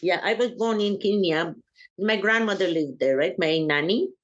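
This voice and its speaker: An older woman from Uganda, a family elder being interviewed, talking in an unhurried, hesitant way with frequent pauses. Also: on the low side, muffled recording.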